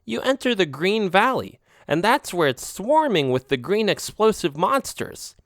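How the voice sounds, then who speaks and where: A man's voice: high-pitched, sing-song, a little slow, fairly bright tone, no echo, clean studio sound, voice pushed up, exaggerated voice. A man voicing a cheerful, knowing male alley cat who warns of danger. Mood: warning.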